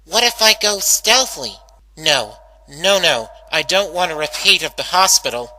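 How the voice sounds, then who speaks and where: Man as nervous young cartoon pony mare, hesitant, high-pitched, very sharp tone, dramatic storybook monologue. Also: big pitch swings, moderate pace, slight background noise, exaggerated voice. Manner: hesitant, self-arguing, dramatic inner monologue. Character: anxious young female cartoon pegasus pony. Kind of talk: dramatic fan-fiction reading.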